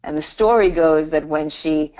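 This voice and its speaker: A woman's voice: low-pitched, normal speed, darkish tone, dull audio. A middle-aged woman teaching hypnotherapy on a live online call, telling stories in a chatty way with many restarts and "you know". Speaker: hypnotherapy instructor.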